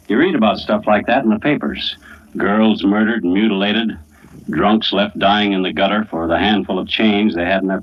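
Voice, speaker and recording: An actor playing a world-weary, down-to-earth middle-aged husband in a 1940s radio suspense play, speaking in a plain, folksy way on an old recording. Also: male voice, lowish voice, highs cut off, close to an everyday voice. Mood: grim. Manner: plain-spoken, folksy narration and dialogue.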